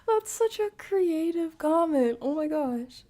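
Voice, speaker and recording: Slow-paced, slangy woman streamer. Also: very high voice, lively intonation, mellow. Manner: slangy, playful, drawn-out delivery. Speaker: streamer reacting to a video with live chat. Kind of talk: casual livestream commentary, chatting with viewers.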